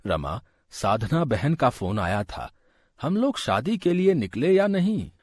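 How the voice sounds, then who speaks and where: A man voicing a middle-aged father-in-law in a moral story, a husky, measured and principled patriarch. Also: medium pitch, sing-song, a little mellow, no room sound. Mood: concerned.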